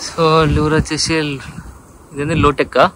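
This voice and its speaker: A man's voice: on the high side, moderate pace, a crowd in the background, poor audio. A man, casually narrating a fishing vlog outdoors on a rocky shore and mixing in English phrases. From Telangana. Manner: excitable, switches into English phrases. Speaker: fishing vlogger. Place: rocky seashore at night, outdoors. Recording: outdoor camera audio with wind and surf noise.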